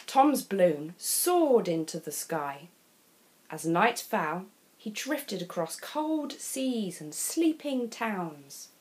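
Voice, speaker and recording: Slow, animated woman storyteller. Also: mid-range voice, poor audio. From England. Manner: slow, deliberate, dramatic phrasing. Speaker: children's storybook reader. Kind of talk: expressive scripted storytelling for young children.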